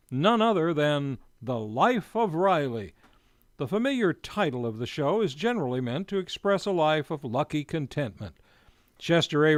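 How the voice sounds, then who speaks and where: A man's voice: on the high side, no room sound. A man hosting a radio show from a studio, reading a script in a formal, theatrical, emphatic announcer style. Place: radio studio, live broadcast.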